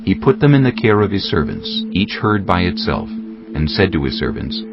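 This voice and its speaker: Very deep male narrator with lively intonation. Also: normal speed, gravelly. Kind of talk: dramatized scripture narration. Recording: dull lo-fi audio with music bed.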